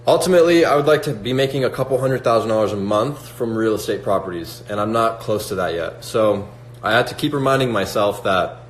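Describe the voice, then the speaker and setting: Young guy on a livestream, lowish voice, echoey room with a faint hum. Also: brisk. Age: 20s. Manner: motivational, reads out chat questions, many fillers like "like" and "right?". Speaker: livestream host. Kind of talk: casual livestream chat with viewers.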